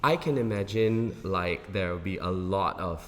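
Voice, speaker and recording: A man's voice: sits low, moderate pace, a bit bright, a little hiss or hum. A man, chatting casually in a cast interview. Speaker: stage play cast member. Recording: reverberant hall.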